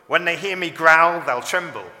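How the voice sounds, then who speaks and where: A boastful young monkey from a children's storybook, acted out by a man, struts through every phrase in a high, bright, forceful voice. He brags showily and plays the lion, with long hall reverb around him. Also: normal speed. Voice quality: roaring, turning squeaky when scared. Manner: bragging, showy. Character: boastful cocky young male monkey playing at being a lion. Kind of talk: children's storybook read aloud.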